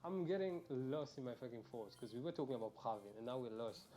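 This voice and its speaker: A man's voice: medium pitch, fast talker, mellow, slight background noise. A young man chatting casually to viewers while live-streaming a game, rambling and swearing freely, recorded through an earphone mic at home. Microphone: earphones mic, quiet and low in level.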